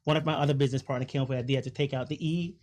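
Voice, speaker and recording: A man's voice: mid-range voice, fairly even intonation, rapid-fire, a little mellow, slight background noise. A man from Jamaica who owns a restaurant, chatting as a guest on a talk show with frequent fillers and restarts. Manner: hesitant, many restarts and fillers. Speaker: restaurant owner. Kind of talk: casual talk-show chat.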